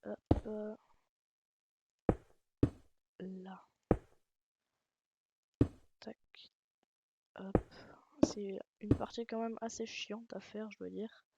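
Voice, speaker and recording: A woman's voice: mid-range voice, little pitch movement, extremely slow, vocal fry, pauses often. A woman with a light Alsatian accent giving a rambling, casual game tutorial, swearing and making self-mocking jokes. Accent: Alsatian French. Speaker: gaming channel host. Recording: loud background noise.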